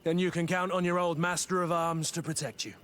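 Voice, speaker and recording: An actor playing a loyal, gruff but kindly older fighting mentor in a video game. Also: male voice, fairly high pitch, flat delivery, a little fast, fairly bright tone, slight background noise, close to an everyday voice. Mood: reassuring. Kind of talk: video game dialogue acting.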